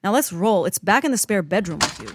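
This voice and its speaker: A woman's voice: medium pitch, animated, fast, slight background noise, close to an everyday voice. A woman playing a grumpy, sarcastic hacker agent in a comedic audio drama. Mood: exasperated. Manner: exasperated, sarcastic.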